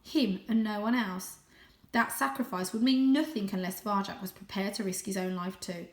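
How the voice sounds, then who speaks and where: A woman reading a children's story aloud in an expressive, suspenseful, animated way. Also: mid-range voice, normal speed, reverberant. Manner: animated, suspenseful, with gasped asides. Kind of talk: dramatic, expressive audiobook-style story narration.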